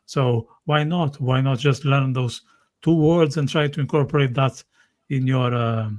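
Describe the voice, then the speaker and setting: A man's voice: mid-range voice, measured pace. Man, hesitant podcast talk. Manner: hesitant, repeats words. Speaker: podcast host. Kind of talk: casual podcast chat.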